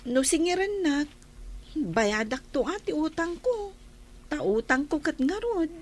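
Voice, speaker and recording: A woman's voice: sits high, very animated, a little slow, a little hiss or hum. A woman with a Filipino accent playing an earnest, anxious young student and daughter in a radio drama. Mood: worried, puzzled.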